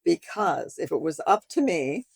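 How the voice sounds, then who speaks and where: Chatty woman in her seventies, low voice. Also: unhurried, creaky, husky, no room sound. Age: 70s. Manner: chatty, animated, acts out customer dialogues. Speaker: boutique owner and guest. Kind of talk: conversational interview.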